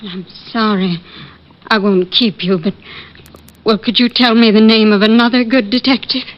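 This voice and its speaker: A woman playing a gentle, anxious middle-aged widow, politely hesitant, in 1940s radio drama style. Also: mid-range voice, fairly even intonation, normal speed, close to an everyday voice. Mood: apologetic, anxious. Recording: muffled old-time radio recording.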